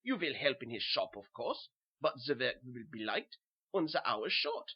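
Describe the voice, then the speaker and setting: Man voicing a formal German doctor, lively pitch. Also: normal speed, husky, muffled recording. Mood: reassuring. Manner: formal, explanatory. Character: assured, kindly German doctor.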